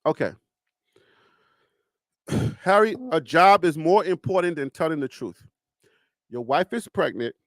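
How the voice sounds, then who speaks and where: High-pitched Liberian man. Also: unhurried, pauses often, no echo, studio quality. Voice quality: animated, rising pitch when arguing. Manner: emphatic, rhetorical questions, teasing. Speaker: live show host. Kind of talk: casual live-stream commentary.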